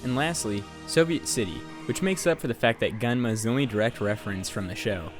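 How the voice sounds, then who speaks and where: A man's voice: lowish voice, brisk. Sarcastic young guy doing voice-over. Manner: sarcastic, profane and joking. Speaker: youtuber reviewing a game. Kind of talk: casual voice-over game review. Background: faint music.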